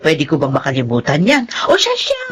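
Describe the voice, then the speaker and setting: A woman's voice: low voice, wildly swooping pitch, a little fast, noisy background. A woman playing a doting, meddling old grandmother in a Filipino radio drama, fussy and exclaiming. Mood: fond, fussing. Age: older.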